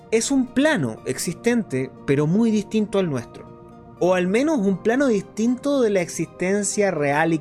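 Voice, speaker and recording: A man playing an earnest, explanatory host of a clandestine resistance radio show, in a scripted monologue with deliberate phrasing. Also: high voice, darkish tone. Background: music behind the voice.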